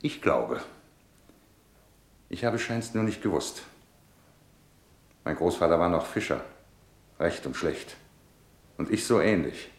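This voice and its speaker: Sarcastic, bitter husband, swinging delivery. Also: male voice, low voice, very animated, slow, long pauses, roomy sound, full character voice. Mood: resigned, brooding. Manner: sarcastic, rhetorical, theatrical delivery. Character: bitter, disillusioned businessman husband and father. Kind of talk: German radio drama acting.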